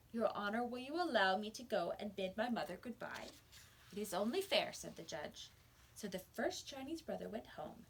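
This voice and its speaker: A woman teacher reading a storybook aloud to young children, expressive and pausing to explain words. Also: fairly high pitch, slight background noise.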